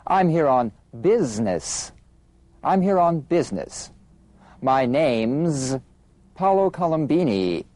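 A man modeling lines of a practice dialogue in an English lesson, repeating each one slowly and with clear stress. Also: a little high, big pitch swings, a little mellow, no echo.

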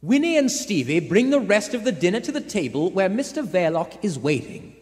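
A man narrating a thriller as a brisk, dramatic announcer in old-time radio style. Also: high-pitched, big pitch swings, darkish tone. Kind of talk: 1940s radio acting, scripted narration. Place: on a theater stage. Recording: echoey theater hall.

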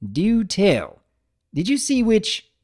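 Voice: mid-range voice, huge pitch swings, slow pace, a little mellow, voice pushed up. A narrator voicing a blunt, impatient young country mare from a cartoon.

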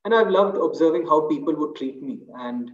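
A man's voice: a little high, expressive, normal speed. A man in his thirties, an author speaking on a video call in a small room, thoughtful and loose, with many fillers and restarts. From India. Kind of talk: webinar talk. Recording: roomy, dull video call audio.

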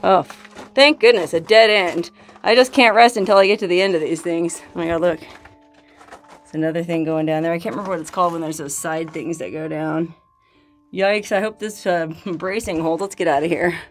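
A woman's voice: on the low side, fairly quick, faint music behind. A woman in her forties, filming herself on a phone while exploring an old mine and a desert canyon, talking casually with awed exclamations and laughs. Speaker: solo explorer vlogger. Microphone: handheld phone at arm's length.

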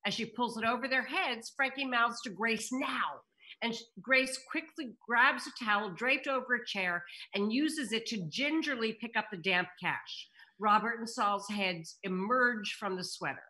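A woman's voice: a little high, unhurried, sharp tone, poor audio. A middle-aged woman hosting a table read over video call, reading stage directions and addressing viewers. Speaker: table read narrator and host.

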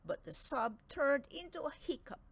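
A woman narrating a children's book aloud in an expressive storytelling style. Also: high voice, normal speed, creaky, a little hiss or hum.

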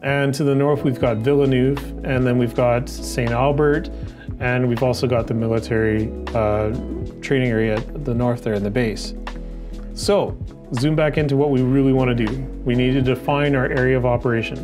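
A man's voice: mid-range voice, steady tone, normal speed. A middle-aged man giving a conversational tutorial as an instructor, often asking questions and answering them himself. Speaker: drone training instructor. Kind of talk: explanatory tutorial, walking through regulations on screen. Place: indoors in an office by a window. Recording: music playing behind the voice.

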